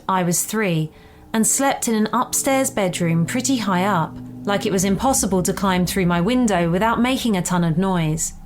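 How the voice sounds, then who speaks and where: A woman's voice: mid-range voice, slight background noise. A woman reading a scripted first-person story aloud in a conversational way, as a storyteller. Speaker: story reader.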